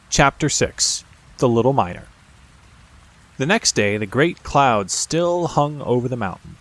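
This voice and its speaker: A man narrating a classic children's story as an audiobook, in a measured, expressive storytelling manner. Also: mid-range voice, rough recording.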